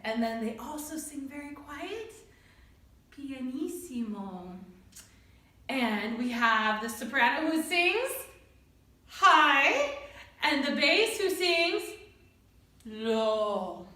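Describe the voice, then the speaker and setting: A woman's voice: high-pitched, pushed and bright. A woman leading an interactive music lesson for young children, encouraging them with short questions and praise. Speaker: children's music class leader. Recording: echoey room, distant mic, faint music.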